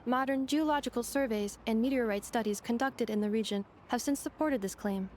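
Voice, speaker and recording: Casting: woman for knowledgeable game android girl, high-pitched, polite, exact and explanatory, over slight background ambience. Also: a little fast, a little mellow, a little hiss or hum, lightly acted. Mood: informative, calm. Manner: formal, precise, explanatory. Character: polite analytical robot girl, earnest, knowledgeable. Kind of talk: video game character dialogue.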